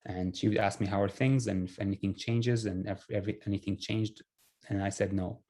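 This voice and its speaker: Low, mellow man. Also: fairly even intonation, brisk. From Syria. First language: Arabic. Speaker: refugee telling his life story. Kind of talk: casual personal storytelling.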